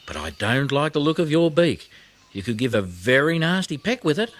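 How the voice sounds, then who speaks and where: A prim mother koala from a children's storybook, played by a man, speaks in a raised, high voice. She is fussy and protective, polite but firm. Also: sing-song, normal speed. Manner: prim, particular.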